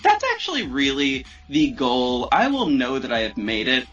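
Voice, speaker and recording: A man's voice: medium pitch, wildly swooping pitch, moderate pace, fairly bright tone, dull audio. A man chatting casually as a podcast guest who makes audio drama, rambling in an informal, self-deprecating way. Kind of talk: casual chat.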